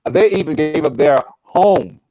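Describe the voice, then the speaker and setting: A man's voice: fairly high pitch, animated, measured pace, warm tone, dry recording, soft background music, low-quality recording. A man giving unscripted remarks as an actor on a live Q&A panel, effusive and often stammering over repeated starts.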